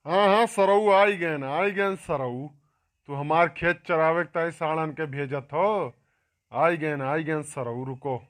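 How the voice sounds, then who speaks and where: Guy doing a highish scolding village farmer, awadhi accent, cartoon tattletale, keeps insisting. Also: a little slow, a bit bright. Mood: accusing. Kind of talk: amateur comic cartoon dialogue.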